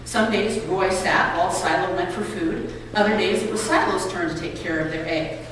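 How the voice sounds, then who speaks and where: A woman's voice: lowish voice, normal speed, fairly bright tone. Woman reading aloud in an echoey hall. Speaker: reader at a public read-aloud event. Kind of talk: reading a children's book and an essay aloud. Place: college building lobby. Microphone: distant microphone. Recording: echoey hall, distant mic, noisy ambience.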